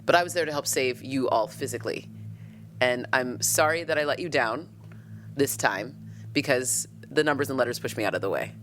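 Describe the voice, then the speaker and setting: A player voicing a pompous, defensive scientist in a halting, blustering way during improvised tabletop roleplay. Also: mid-range voice, fairly bright tone, a little hiss or hum, natural voice. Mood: defensive, indignant. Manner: halting, blustering, self-justifying. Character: pompous, defensive scientist, insecure and self-important, adult.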